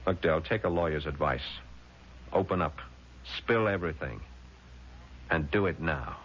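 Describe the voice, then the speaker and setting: An actor playing a shrewd, protective lawyer in 1970s radio drama, speaking in a deliberate, hard-boiled, probing way. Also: male voice, deep voice, a bit bright. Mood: cool, pressing. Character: shrewd, cool-headed male lawyer, protective and persistent. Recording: dull, noisy vintage radio recording.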